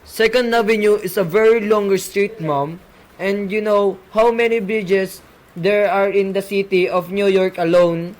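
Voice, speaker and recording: Man acting high-voiced, placating police sergeant. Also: steady tone, unhurried, rough recording. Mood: skeptical. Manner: placating, matter-of-fact. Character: patient, dismissive police sergeant taking a call. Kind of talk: amateur radio play acting.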